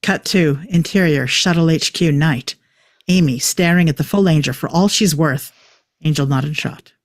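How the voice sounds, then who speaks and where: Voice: mid-range voice, moderate pace, no echo, clean studio sound. A narrator reading stage directions from a drama script.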